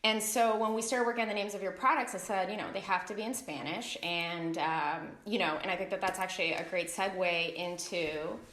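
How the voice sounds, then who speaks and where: A middle-aged woman hosting a casual interview, chatty and playful with quick asides. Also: lowish voice, a bit bright, a little hiss or hum. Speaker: brand founder and host. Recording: echoey room.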